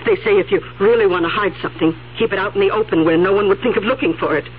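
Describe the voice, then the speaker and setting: An actress playing an anxious, secretive and confused elderly aunt in a radio drama, with a fretful, hesitant delivery. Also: female voice, medium pitch, very fast, natural voice. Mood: bossy, secretive. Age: older. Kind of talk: 1970s radio drama acting. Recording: muffled narrow-band 1970s radio recording, hiss.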